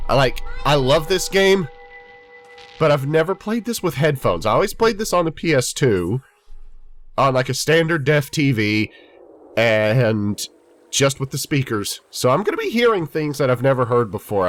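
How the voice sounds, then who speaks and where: A man in his twenties, stuffy from a head cold, giving rambling, chatty let's-play commentary. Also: medium pitch. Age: 20s. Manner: rambling, chatty, self-deprecating. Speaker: gaming YouTuber.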